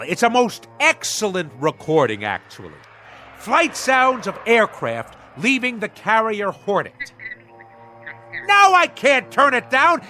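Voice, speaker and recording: An actor playing a pompous, navy-obsessed husband in a radio drama, with florid, old-fashioned diction. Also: male voice, very high voice, sharp tone, full character voice. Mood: blustering, impatient.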